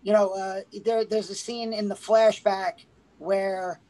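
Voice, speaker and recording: Relaxed, knowledgeable male podcast co-host. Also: high voice, slow pace, rough recording. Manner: relaxed, knowledgeable, frequent fillers and restarts. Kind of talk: casual movie review chat.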